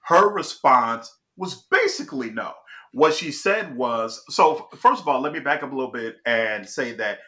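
A man from Chicago giving casual, emphatic political commentary as host, often pausing to check in with "all right?". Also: medium pitch, animated, sharp tone.

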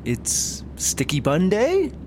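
Excitable, eager young traveler, man playing, lively pitch, noisy plane-cabin background. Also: takes it slowly, heavily acted. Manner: excitable, pitch jumps high when excited. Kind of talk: comedy audio drama acting.

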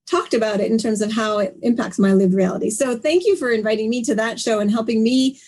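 A woman's voice: fairly high pitch, fast talker, a little mellow. A woman hosting a conversational interview, speaking in reflective, wordy phrases that often halt and repeat. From Canada. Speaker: live stream host, interviewer.

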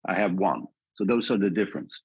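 Husky-voiced man speaking thoughtfully. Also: sits low, lively intonation, muffled recording. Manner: thoughtful, measured, with frequent restarts. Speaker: filmmaker guest. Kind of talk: reflective podcast conversation.

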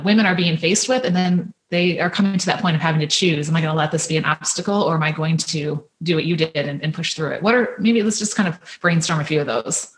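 A woman hosting an interview, talking in a rambling way with many restarts and fillers. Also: fairly low pitch. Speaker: podcast host interviewing women. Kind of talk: conversational interview.

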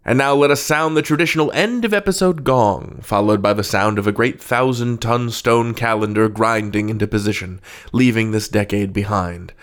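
A man's voice: lowish voice, moderate pace. A man hosting a podcast, reading scripted announcements in a playful, theatrical way with formal flourishes. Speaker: podcast host. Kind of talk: scripted podcast outro with interview.

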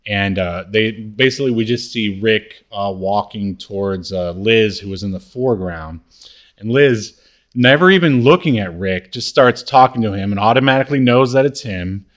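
A man co-hosting a podcast, casually recapping a TV show scene by scene in a halting, hesitant way. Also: fairly low pitch. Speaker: podcast co-host.

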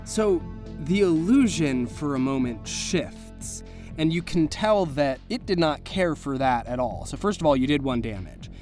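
A man's voice: a little high, animated, a little slow, a little mellow. A man narrating an improvised tabletop game as game master, casual and vivid in his describing. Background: music.

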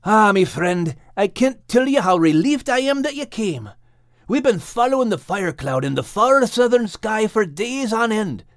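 A plucky lad full of encouragement, as a storyteller voices him, pitched well up and lively in his rises and falls. His speech is hearty and earnest, recorded close and dry. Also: a little slow, no echo, heavily acted.

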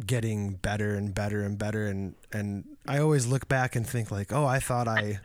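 A man hosting a casual interview, chatting in a relaxed, meandering way. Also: lowish voice, normal speed, no echo. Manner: relaxed, chatty, drifting with fillers. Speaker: podcast host.

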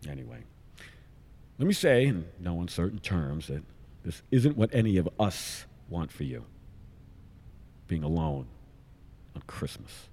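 An actor playing a middle-aged scientist father in an audio drama, casual, wry and gently persistent. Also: sits low, sing-song, measured pace, pauses often, slightly rough, in a large hall, heavily acted. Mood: concerned. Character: warm, eager father and scientist, a concerned friend. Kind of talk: naturalistic audio drama acting.

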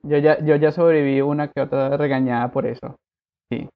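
Gravelly-voiced Colombian guest. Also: male voice, a little high, a little fast, narrow, tinny audio. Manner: relaxed, many fillers and affirmations. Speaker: podcast guest. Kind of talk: conversational interview.

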